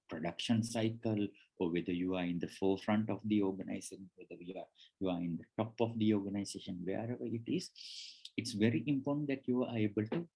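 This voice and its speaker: A man's voice: fairly low pitch, husky, dull audio. A man who learned English as a third language, presenting on job interviews in a conversational way with frequent restarts. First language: not English. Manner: conversational, restarts often, acts out quoted dialogue.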